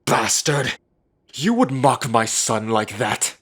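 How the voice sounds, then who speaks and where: A man's voice: mid-range voice, lively intonation, slow, fairly bright tone, husky, full character voice. An actor playing a proud, hostile male elder of a werewolf council, speaking with cold contempt. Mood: threatening. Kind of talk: audio drama acting.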